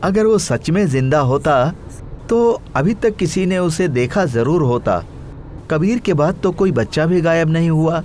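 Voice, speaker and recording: A man's voice: fairly high pitch, animated, measured pace, a little mellow, slight background noise. A man voicing a sceptical, rational husband in a horror story, dismissive and matter-of-fact. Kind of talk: audio horror story acting.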